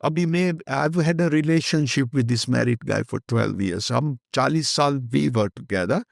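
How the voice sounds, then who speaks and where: A man's voice: mid-range voice, quick pace, creaky, no echo, studio quality. An older man with an Indian accent, a guest speaking candidly and rambling in an interview, slipping Hindi phrases into his English. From Kenya.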